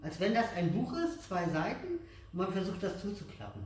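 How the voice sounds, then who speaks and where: A man's voice: sits high, lively intonation, brisk. A middle-aged man teaching exercises step by step in simple, repeated phrases, patient and encouraging. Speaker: aikido instructor. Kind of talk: hands-on exercise instruction to a child and viewers. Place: large dojo room with mats, indoors. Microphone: distant camera microphone. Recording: echoey hall, far from the mic.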